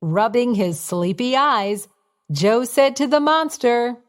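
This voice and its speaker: Slow-paced woman narrating children's bedtime stories. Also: a little high. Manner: expressive, simple storytelling for young children. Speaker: children's storyteller. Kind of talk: scripted bedtime story narration.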